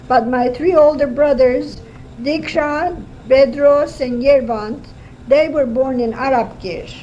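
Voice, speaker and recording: An older woman in her seventies, born in Armenia, slowly recalling her life in a home interview, with many pauses and fillers. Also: high voice. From Armenia (Erzurum, Turkey). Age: 70s. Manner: slow, halting storytelling with fillers. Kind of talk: oral history interview. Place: home kitchen. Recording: lo-fi home cassette tape with faint hiss.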